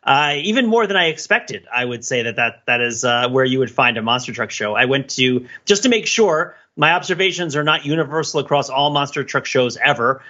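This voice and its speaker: A man's voice: mid-range voice, expressive, brisk, few pauses, bright, forceful tone, muffled recording. A man co-hosting a podcast in casual talk, with a wry, wordy, analytical way of explaining things. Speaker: podcast co-host.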